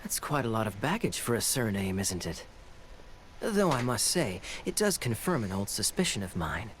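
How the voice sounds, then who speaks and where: A man playing a suave, teasing young knight in an anime game, with a smooth, playful, theatrical delivery. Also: fairly low pitch, big pitch swings, normal speed, slight background noise. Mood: wry, probing.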